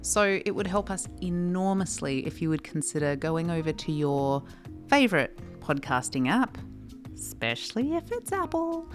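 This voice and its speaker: A woman with a low voice co-hosts a podcast in a bubbly, joking way, laughing often. Faint music plays behind her. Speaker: podcast co-host. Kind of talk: casual podcast chat.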